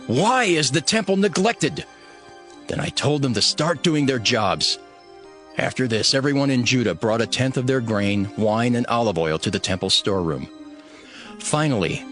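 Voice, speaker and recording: A zealous, devout governor telling his story in a dramatized audio Bible. His mid-pitched voice moves with lively, emphatic swings, earnest and stern. Music plays behind him. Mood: angry, scolding. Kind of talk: dramatized scripture narration.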